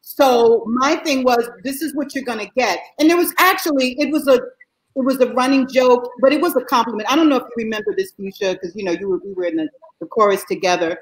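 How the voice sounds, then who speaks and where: A middle-aged woman telling a backstage story in casual chat on a video call, animated and laughing as she goes. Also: a little high, a little fast, rough recording. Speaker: guest performer.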